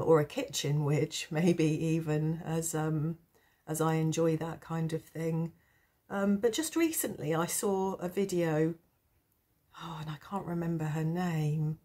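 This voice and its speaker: A woman with a low, mellow voice roughened by a cold and sore throat. She chats casually as a vlog host, taking her time and pausing often. Her manner is reflective, hesitant and gently self-deprecating. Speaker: tarot channel host. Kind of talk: casual rambling chat to camera.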